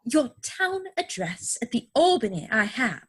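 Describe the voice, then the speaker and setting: A woman's voice: a little high, very animated, measured pace, slightly rough, exaggerated voice. A woman acting a self-assured, romantic young society lady in a Victorian stage comedy, with precise, haughty declamation, recorded at home over a video call. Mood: adoring. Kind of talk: stage comedy dramatic reading.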